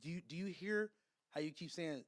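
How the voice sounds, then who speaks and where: High-voiced man arguing, confrontational. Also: normal speed, no echo, studio quality. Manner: confrontational, profane, repeats himself. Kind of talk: heated argument on a podcast.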